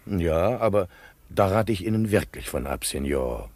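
A man's voice: sits low, lively intonation, moderate pace, a little mellow, a little hiss or hum. An actor playing a worried, talkative harbour local in a German radio play, politely insistent and grumbling as he cautions. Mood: worried warning.

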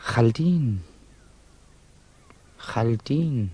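A man's voice: medium pitch, expressive, extremely slow, warm tone, a little hiss or hum. Curt, distrustful young man. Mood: suspicious, sharp. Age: young adult. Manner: curt, suspicious questioning. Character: distrustful, evasive young Russian revolutionary student. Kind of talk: 1960s German radio drama acting.

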